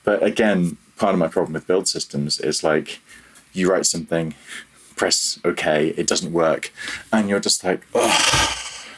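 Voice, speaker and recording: A man's voice: deep voice, normal speed, slight background noise. A man talking casually as an interview guest, candid and self-deprecating with a wry manner. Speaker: podcast guest, audio software developer.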